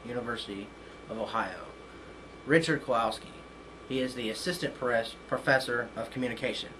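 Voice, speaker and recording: Young man, interview host. Announcer-style formal intro, medium pitch, slightly noisy recording. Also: a bit bright. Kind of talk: show introduction.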